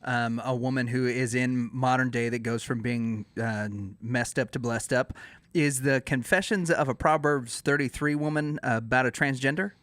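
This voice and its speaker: Man, wisecracking co-host, sharp bright tone, dry close sound, casual playful interview banter. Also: medium pitch, fairly even intonation, normal speed, clear, resonant tone, no echo. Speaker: podcast co-host.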